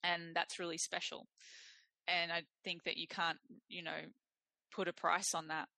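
A woman's voice: fairly low pitch, level tone, measured pace, creaky, sharp tone, no echo. A woman talking earnestly and reflectively, searching for her words as she goes in an informal interview style. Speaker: social circus practitioner.

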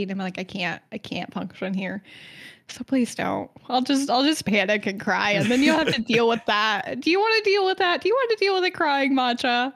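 A woman on a casual podcast, her voice sitting on the higher side. She chats freely, laughs easily and tells stories with dramatic flair. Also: fairly bright tone. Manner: chatty, giggly, dramatic storytelling. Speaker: podcast co-host presenting lore research.

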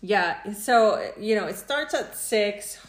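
A woman's voice: medium pitch, animated, slow pace, reverberant, poor audio. A middle-aged woman from Mexico co-hosting a podcast, chatting casually and mixing English with Spanish. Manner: enthusiastic, switches between English and Spanish. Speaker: podcast co-host. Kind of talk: bilingual casual chat.

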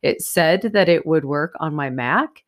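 A woman's voice: deep voice, expressive, unhurried. Stuffy-nosed woman hosting a podcast. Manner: chatty, rambling, many fillers. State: stuffy, nasal from a sinus infection. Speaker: podcast host. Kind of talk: casual solo podcast. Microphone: new USB microphone.

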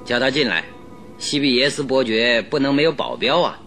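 An actor playing a shrewd, calm detective who talks in a measured, persuasive way with sly confidence in a radio drama. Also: male voice, mid-range voice, a little hiss or hum, natural voice.

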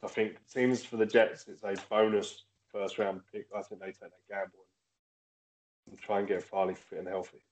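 A man co-hosting a casual podcast, chatty and quick to laugh at his own jokes. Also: on the low side, flat delivery, darkish tone. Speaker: podcast co-host. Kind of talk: casual chat. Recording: dull lo-fi sound.

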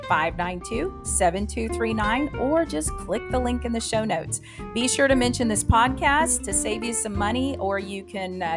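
A woman hosting a podcast as a practice coach, speaking straight to her listeners in an emphatic way with rhetorical questions. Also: medium pitch.

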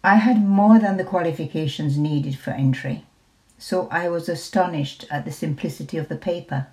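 Older woman, low mellow voice. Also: normal speed. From Jamaica. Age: 70s. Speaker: retired nurse. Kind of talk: reading a personal memoir.

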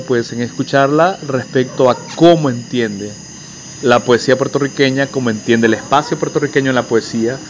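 A man frames an elaborate, winding question, pausing on fillers as he builds his point. His voice sits at a middle pitch. A faint hum runs under the recording. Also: dull audio. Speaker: interviewer, host. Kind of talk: interview question.

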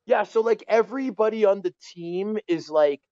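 A man in his forties with a Canadian accent, telling stories casually as a podcast guest, colloquial and animated, often checking that the listener follows. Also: sits high, moderate pace, lo-fi sound. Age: 40s. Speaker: former pro hockey player, interview guest.

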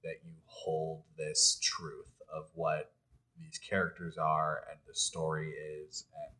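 A man's voice: very deep voice, completely flat delivery, slow pace, pushed and bright. A man co-hosting a fan podcast, talking in a casual, enthusiastic, conversational way. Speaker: podcast co-host.

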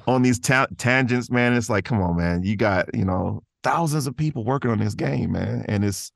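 A man's voice: mid-range voice, moderate pace, gravelly, no echo, studio quality. A man who is an interview guest and voice actor, chatting casually in an earnest, enthusiastic way with laid-back slangy phrasing. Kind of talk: casual conversation.

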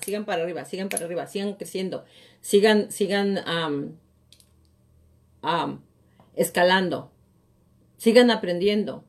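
A woman's voice: mid-range voice, a little mellow. A middle-aged woman, giving an emphatic motivational talk as a coach on a live show, often repeating phrases. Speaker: real estate coach and live show host.

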